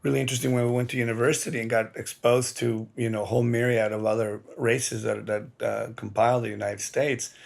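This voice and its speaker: A man's voice: medium pitch, flat delivery, a little fast, vocal fry, few pauses. A middle-aged man from Mexico, an interviewed artist, explaining his experience reflectively and earnestly, repeating words as he searches for the next.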